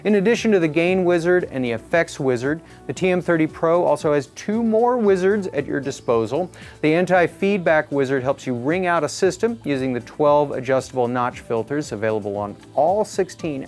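Middle-aged guy doing a scripted gear review, animated pitch, music behind him. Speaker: product reviewer. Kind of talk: scripted product review narration. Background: background music.

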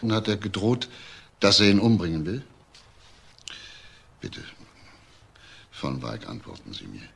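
An actor playing a probing, businesslike police inspector in a crime radio drama, asking halting, clipped questions. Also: male voice, deep voice, huge pitch swings, gravelly, slightly rough, exaggerated voice. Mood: pressing, halting.

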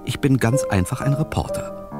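An actor playing a wry reporter who narrates a detective radio play in the first person, tongue-in-cheek. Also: male voice, mid-range voice, normal speed, natural voice. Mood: wry introduction. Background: music.

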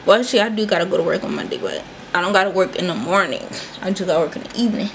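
A woman's voice: lowish voice, racing, vocal fry, slight background noise. Chatty young woman vlogging in her bedroom. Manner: chatty and animated, addresses viewers as y'all. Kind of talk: casual chatty vlog. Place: small bedroom, indoors. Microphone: handheld phone camera, then propped on desk.

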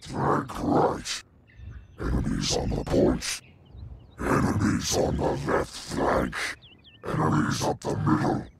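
An actor voicing a huge, menacing dark dragon for a video game, haughty and theatrical, with a growling, roaring delivery. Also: male voice, wildly swooping pitch, slow pace, very noisy, heavily acted. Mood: commanding. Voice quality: deep, gravelly growling roar. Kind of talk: video game character voice lines.

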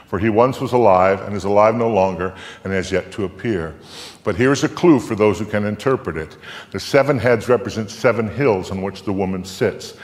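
An older man giving a theatrical live recitation of scripture in a solemn, deliberate, emphatic manner. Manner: solemn, deliberate, emphatic, swelling from hushed to booming. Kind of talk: live dramatic reading, theatrical storytelling recitation. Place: theatre stage before a live audience. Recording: echoey theatre hall, long reverb.

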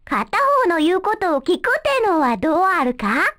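A woman's voice: very high voice, very animated, normal speed, fairly bright tone, exaggerated voice. A woman voicing a small, blunt young girl fighter in an anime video game, with a mock Chinese accent and pushy, broken phrasing. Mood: blunt, scheming.

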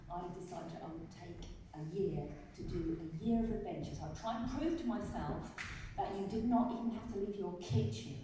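A middle-aged English woman, an author and storyteller, telling lively, self-mocking stories to a seated audience at a writers' event. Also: on the low side. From England. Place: large glass conservatory with a seated audience. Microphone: podium mic heard from the back of the room. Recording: echoey hall from far off, noisy.

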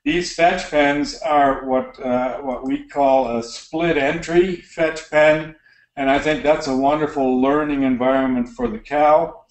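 A middle-aged man with a Canadian accent gives a practical slide lecture on barn design, relaxed and full of fillers and restarts. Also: fairly high pitch, takes it slowly. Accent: Canadian English. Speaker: dairy barn design consultant. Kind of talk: lecture narration over slides. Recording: roomy echo.

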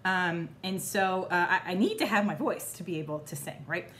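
A woman in her thirties chatting casually to camera in her home kitchen, her voice still slightly hoarse as it recovers, rambling with lots of fillers. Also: fairly low pitch, expressive, normal speed, fairly bright tone, slight background noise. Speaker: vlogger. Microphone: phone or camera at arm's length.

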